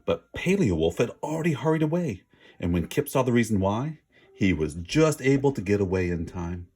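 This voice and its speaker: A man's voice: fairly low pitch, big pitch swings, moderate pace, a little mellow, breathy edge. A man reading a children's story aloud with dramatic, emphatic word stress and an informal manner. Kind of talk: expressive read-aloud narration with casual asides.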